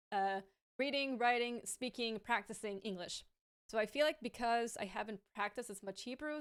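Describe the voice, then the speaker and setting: A woman's voice: a little high, clear, resonant tone. A woman streamer answering viewer questions in a casual, frank way, stammering often as she repeats sounds and restarts words. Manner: thoughtful and frank, with light laughs.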